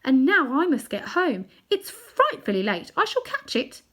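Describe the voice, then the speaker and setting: A woman reading aloud, voicing a prim, indignant young girl with a crisp, sarcastic way of talking. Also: high-pitched, huge pitch swings, unhurried, fairly bright tone, voice pushed up, exaggerated voice. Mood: cool sarcastic.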